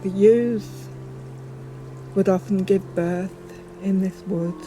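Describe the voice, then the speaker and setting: A woman speaking reflectively and unscripted in a documentary, thoughtful and halting, with frequent pauses. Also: medium pitch, fairly even intonation, dark tone, slight background noise, rough recording. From northern England. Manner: halting, pausing often, thoughtful. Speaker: poet.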